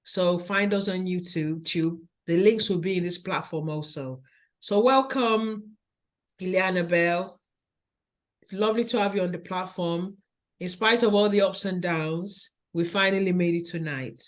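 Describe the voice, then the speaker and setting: A woman with a Nigerian accent hosting a podcast interview, speaking in a slow, measured, encouraging way. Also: on the low side. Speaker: podcast host.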